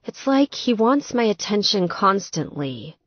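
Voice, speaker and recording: A woman's voice: medium pitch, sing-song, measured pace, breathy edge, no room sound, muffled recording. A woman voicing a sweet, distressed young woman gamer in an audiobook, plaintive and exasperated. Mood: confiding. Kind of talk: audiobook character dialogue.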